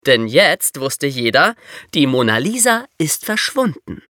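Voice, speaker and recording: An actor playing an excitable, chatty boy who eagerly tells stories in a scripted podcast. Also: medium pitch, unhurried, no echo, clean studio sound. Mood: excited storytelling. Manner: eager, animated storyteller. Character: excitable, chatty boy sharing investigated theories. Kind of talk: scripted podcast banter and storytelling.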